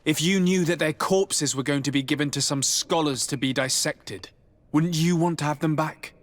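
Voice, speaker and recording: A man's voice: fairly high pitch, moderate pace, dry recording, natural voice. An actor playing a courteous, compassionate young nobleman and diplomat in a video game, speaking politely and sincerely. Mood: persuasive. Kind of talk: video game dialogue acting. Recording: game dialogue under prominent music.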